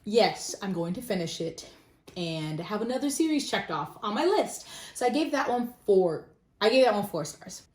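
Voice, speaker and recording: A woman's voice: medium pitch, very animated, normal speed. Woman chatting casually in an echoey room. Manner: informal, self-deprecating, conversational. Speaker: book vlogger. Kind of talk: casual book review chat to camera. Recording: roomy echo.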